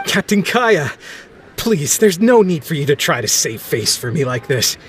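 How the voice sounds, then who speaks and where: A man's voice: fairly high pitch, big pitch swings, unhurried, a little hiss or hum. An actor playing an embarrassed, flustered male ship captain who stammers in a video game.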